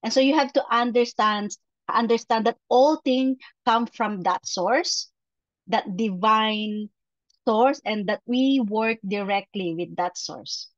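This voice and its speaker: Slow-talking Filipino woman giving a motivational talk. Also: a little high, no echo. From Philippines. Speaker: performance coach, guest speaker. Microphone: video call. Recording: dull, lo-fi webinar audio.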